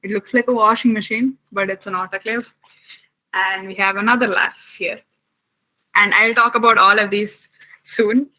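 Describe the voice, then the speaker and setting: A woman's voice: mid-range voice, fairly even intonation, pushed and bright, poor audio. A young woman from Chennai, chatting with children while giving a science camp lab tour over a video call. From Chennai, India. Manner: chatty, asks the children many quick questions.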